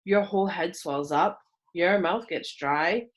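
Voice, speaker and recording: A woman voicing a cornered, quick-witted boy in a storybook, polite but firm and controlled. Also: on the low side, close to an everyday voice. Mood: ominous warning.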